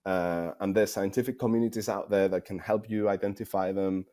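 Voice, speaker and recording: A man with a Spanish accent and a deep, relaxed, dark voice. He speaks as a game developer giving conversational interview answers, working out his phrasing as he goes and often repeating words. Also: brisk. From Spain.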